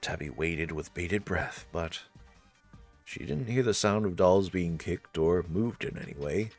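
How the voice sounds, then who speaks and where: A man with a low voice narrates a visual novel. His delivery is scripted, dramatic storytelling, with soft music underneath. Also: unhurried.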